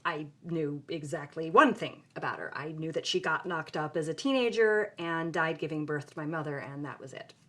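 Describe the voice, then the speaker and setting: An outspoken young woman being interviewed in an audio drama. Her voice is fairly low, and she tells her story in a wry, conversational way. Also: moderate pace, hardly any pauses. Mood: wry, candid. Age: young adult. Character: frank young woman, film grad student telling her story.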